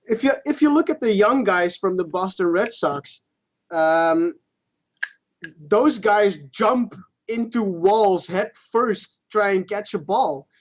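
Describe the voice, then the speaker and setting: A man's voice: high-pitched, expressive, slow. Informal, sweary man talking sports. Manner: informal, sweary, hedging as he argues. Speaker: podcast co-host. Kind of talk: casual sports chat.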